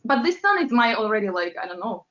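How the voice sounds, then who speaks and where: A woman's voice: on the high side, big pitch swings, fast talker, a bit bright. A woman from Latvia, a pop singer, chatting as an interview guest, with frequent fillers and laughs. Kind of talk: casual interview chat. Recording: roomy, dull lo-fi sound with faint music.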